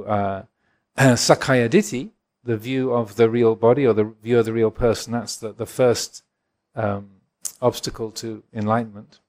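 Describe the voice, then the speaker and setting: A man in his sixties from England, a Buddhist monk giving a gentle, reflective dharma talk and answering questions at a retreat. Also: medium pitch. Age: 60s. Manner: gentle, reflective, chuckles often.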